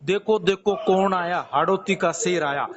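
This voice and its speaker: A man's voice: high-pitched, a little slow, a crowd in the background. A man with a Rajasthani accent questioning someone persistently on a phone call, interrupting and repeating himself. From Rajasthan, India. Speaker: caller, community activist. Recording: muffled, noisy phone call recording.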